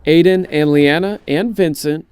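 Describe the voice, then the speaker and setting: Higher-pitched American male news reporter. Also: a little slow, darkish tone. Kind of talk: scripted news narration.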